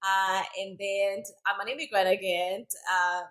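A woman's voice: mid-range voice, fairly even intonation, measured pace, fairly bright tone. A woman from Costa Rica, a native Spanish speaker with a Central American accent, telling her story conversationally as a podcast guest. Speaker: podcast guest, Zumba instructor.

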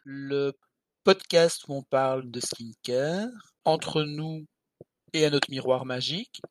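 A man's voice: medium pitch, slow pace, dry recording. A man co-hosting a casual podcast, explaining things in a chatty, slightly irreverent way. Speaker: podcast co-host.

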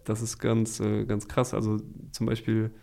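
Young man, gravelly low voice, slangy chat. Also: monotone, moderate pace, darkish tone. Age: young adult. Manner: laid-back, slangy, joking. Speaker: podcast host. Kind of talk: casual chat, youth slang.

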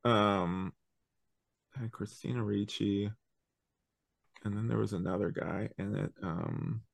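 A man's voice: fairly low pitch, big pitch swings, slow, gravelly, no echo. A man hosting a podcast, chatting casually about a review and rambling as he thinks aloud. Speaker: podcast host.

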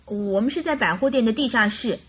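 An actress playing a chatty, naive and carefree young bride in a radio drama, with girlish, animated complaining and coaxing. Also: on the high side, brisk, breathy edge, faint music behind. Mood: confiding, lively.

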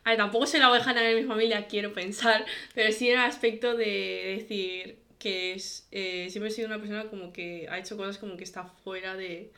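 Young woman, medium pitch, informal and explanatory, casual interview guest. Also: steady tone, brisk, hardly any pauses, sharp tone. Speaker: podcast guest.

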